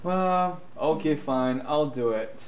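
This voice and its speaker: A man's voice: a little high, slow, echoey room, highs cut off. A man voicing a pompous carrot emperor in an amateur puppet show. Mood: reluctant. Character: stern, bossy male carrot emperor.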